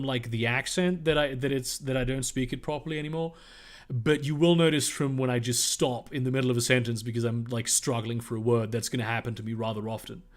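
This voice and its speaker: A young German man reading viewer questions aloud on a livestream and answering them in casual, rambling, profane chat. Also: medium pitch.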